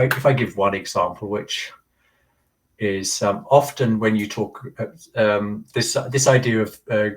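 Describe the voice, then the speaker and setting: A man's voice: on the low side, fairly bright tone, far from the mic, poor audio. A man earnestly explaining ideas at length in a casual talk, often restarting his sentences. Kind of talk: casual discussion.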